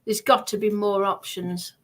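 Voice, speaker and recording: A woman chatting casually about personal matters in a hesitant, halting way. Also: medium pitch, flat delivery.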